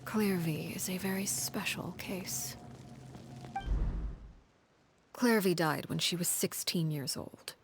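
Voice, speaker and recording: A woman playing a composed, menacing head of an orphanage in a game, telling a story in a measured, formal, hushed and breathy voice. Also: on the low side, noisy background. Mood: cool, measured. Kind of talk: scripted game voice acting, storytelling.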